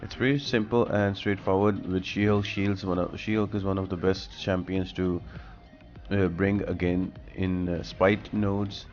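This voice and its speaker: A man's voice: low-pitched, flat delivery, measured pace, a little mellow, muffled recording, faint music behind. A man giving a casual game walkthrough, explaining things directly to viewers as the host of a guide.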